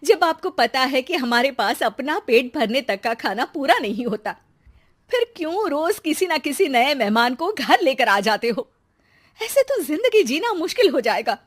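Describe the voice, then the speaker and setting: Lady doing a clever village wife from a cartoon, high sing-song voice, sweet but sly. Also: big pitch swings, moderate pace. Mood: exasperated.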